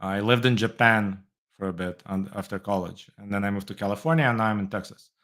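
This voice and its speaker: A man's voice: fairly low pitch, fast talker, fairly bright tone. A middle-aged man from Moldova who grew up in Israel, answering interview questions thoughtfully with light laughs. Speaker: guest, tech entrepreneur.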